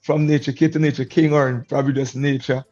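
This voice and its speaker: A man's voice: medium pitch, flat delivery, brisk, mellow, lo-fi sound, soft background music. A middle-aged man with a Guyanese accent shaped by years in Antigua, a guest musician chatting in an easygoing way and laughing often. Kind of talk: casual interview chat.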